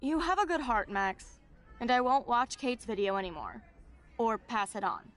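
Game role: blunt, gossipy teen girl, slightly high pitch, candid. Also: very animated, moderate pace, fairly bright tone, faint music behind. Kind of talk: video game voice acting.

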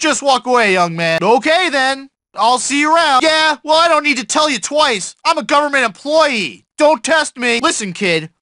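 A high-strung, high-pitched whiny mailman. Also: pushed and bright, no echo, clean studio sound, heavily acted. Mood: mocking, annoyed. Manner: whiny, indignant, folksy slang. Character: irritable small-town mailman with a mustache, self-important, easily flustered. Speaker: video game character voice actor. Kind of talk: exaggerated cartoonish video game acting.

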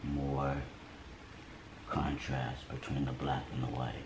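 A man's voice: a bass voice, level tone, slow pace. A man in his thirties, casually talking viewers through an art project in his home garage, laid-back and direct. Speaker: vlogger and artist. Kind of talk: casual how-to vlog narration. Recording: echoey room with loud music behind.

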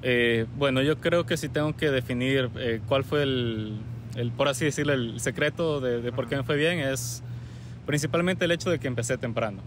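A young man from Ecuador, answering interview questions in a calm, measured way with frequent pauses. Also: medium pitch, noisy background. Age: young adult. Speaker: guest, recent medical graduate. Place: outdoors on a university campus plaza with trees.

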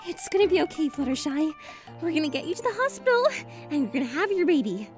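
A woman voicing a studious, earnest young female unicorn pony in a fan-made cartoon radio play, brisk and take-charge. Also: high-pitched, very animated, over music. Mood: soothing.

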